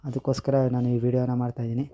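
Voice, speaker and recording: A man's voice: medium pitch, flat delivery, racing. A man giving a casual step-by-step app tutorial, often addressing viewers directly. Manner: repeatedly addresses viewers as "guys". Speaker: video tutorial host. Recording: slightly dull lo-fi audio.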